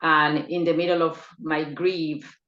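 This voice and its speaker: Low-voiced middle-aged woman from Ecuador. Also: level tone, slow pace, fairly bright tone. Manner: hesitant, with many fillers and restarts. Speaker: podcast guest. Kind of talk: personal interview. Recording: echoey, dull lo-fi remote line.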